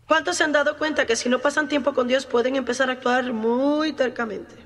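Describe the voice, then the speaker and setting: Female preacher, high-voiced, emphatic and self-deprecating, delivering a sermon in a reverberant hall. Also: fairly even intonation, brisk, a bit bright.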